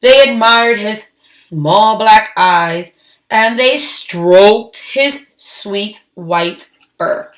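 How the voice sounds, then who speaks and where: A woman reading a storybook aloud to children, expressive and emphatic, adding her own asides between the lines. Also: mid-range voice, drawn-out words. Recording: echoey, roomy sound.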